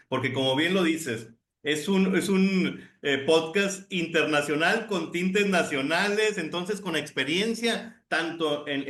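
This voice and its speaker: Honduran man, middle-aged, upbeat podcast co-host with a slightly high voice, roomy echo. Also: a bit bright. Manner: chatty, enthusiastic, many restarts. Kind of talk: casual podcast conversation.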